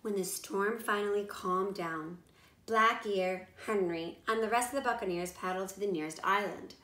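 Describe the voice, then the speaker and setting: A woman reading a children's storybook aloud in animated narration. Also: medium pitch, normal speed. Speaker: storybook reader. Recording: roomy echo.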